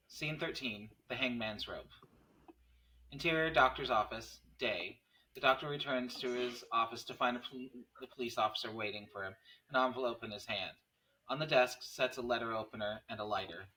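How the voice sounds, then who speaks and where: A man's voice: medium pitch, brisk, sharp tone, slight background noise, poor audio. A man reading screenplay stage directions as narrator at a table read.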